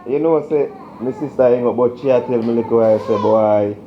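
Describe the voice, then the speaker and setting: A man's voice: medium pitch, brisk. Jamaican man, muffled noisy recording. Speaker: vlogger hosting a relationship prank. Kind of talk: casual Patois argument and mediating. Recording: muffled, noisy home video audio.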